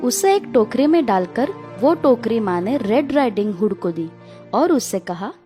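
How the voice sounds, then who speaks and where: A woman's voice: fairly high pitch, very animated, measured pace, dark tone. A woman reading a scripted children's fairy tale as narrator. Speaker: storyteller. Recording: music behind the voice.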